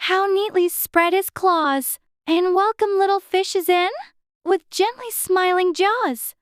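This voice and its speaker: A woman's voice: very high voice, takes it slowly, no room sound, studio quality. A woman voicing an anxious, chatty little girl in a dramatized storybook, fretfully talking to herself in prim childish exclamations. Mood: reciting. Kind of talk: dramatized audiobook character acting.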